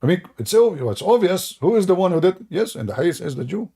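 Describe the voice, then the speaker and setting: A man's voice: high voice, sing-song, quick pace, vocal fry, dark tone, breathy edge. A man whose first language is Arabic, hosting sarcastic religious commentary in a mocking, theatrical way. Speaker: host.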